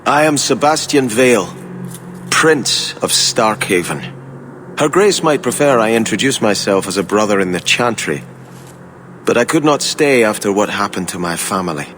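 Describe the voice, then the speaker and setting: Game casting: devout, courteous prince in exile, formal and earnest, lively melodic delivery. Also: male voice, fairly low pitch, moderate pace, a little hiss or hum. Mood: proud, resolute. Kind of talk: video game dialogue.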